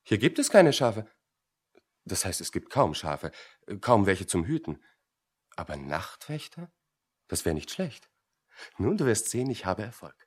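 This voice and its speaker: A self-important young dropout in a radio drama. His voice is fairly low and rough-edged, with very animated intonation. He broods and argues pompously. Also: a little slow, pauses often, heavily acted. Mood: defensive, earnest. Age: young adult. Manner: pompous, argumentative. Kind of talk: German radio play acting.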